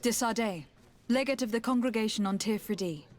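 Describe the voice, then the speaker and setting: A woman's voice: fairly high pitch, brisk, a little mellow, natural voice. An actress playing a commanding, courteous noblewoman diplomat in a video game, speaking formally. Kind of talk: video game character acting.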